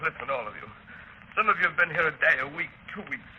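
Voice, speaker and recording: An actor in a 1930s radio drama playing a half-starved prisoner unravelling in chains, bitter, melodramatic and repetitive with despair. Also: male voice, fairly high pitch, lively intonation, fast, sharp tone. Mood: bitter, weary. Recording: dull noisy 1930s radio recording.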